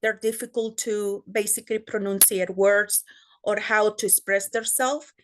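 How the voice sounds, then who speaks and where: A woman's voice: mid-range voice, flat delivery, unhurried, a little hiss or hum. A woman speaking in an online meeting.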